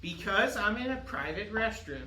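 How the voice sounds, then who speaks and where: A man's voice: high-pitched, animated, normal speed, a loud crowd. A man co-hosting a podcast in casual banter, defending himself and telling dad jokes. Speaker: podcast co-host. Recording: echoey room with faint noise.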